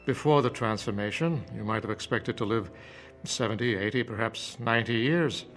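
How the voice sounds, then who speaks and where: A man playing a calm professor in a radio drama, persuasive and didactic, phrasing things deliberately. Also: medium pitch, soft background music, natural voice. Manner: measured, didactic, deliberate repetition. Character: calm, persuasive male professor preaching conformity.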